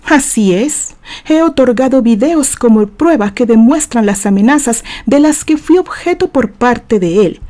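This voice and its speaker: A woman's voice: high voice, dark tone. Woman reading an indignant, accusing male witness. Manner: emphatic, formal, accusatory.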